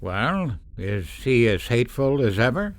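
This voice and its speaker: A man's voice: medium pitch, sing-song, measured pace, dry recording. An actor playing a cautious, protective middle-aged father who hesitates and admonishes, in an old-time radio drama. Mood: uneasy.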